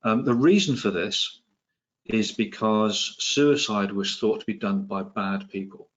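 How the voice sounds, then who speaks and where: A middle-aged man from England, his accent softened by years in New Zealand, giving an online talk as a psychiatrist, with many ums, restarts and dry asides. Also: fairly low pitch, measured pace, dull audio. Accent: English, with some New Zealand influence. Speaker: psychiatrist and presenter. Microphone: video call.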